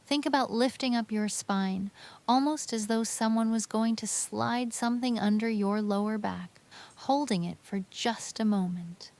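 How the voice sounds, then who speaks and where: A woman narrating a scripted guided sleep meditation, giving gentle, soothing, unhurried instructions. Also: a little high.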